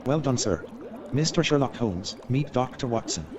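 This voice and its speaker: A man playing a cautious, apologetic gentleman in a humorous radio play, speaking in a measured, hedging way. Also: medium pitch, lively intonation, crowd noise, natural voice. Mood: courteous. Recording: clear background noise.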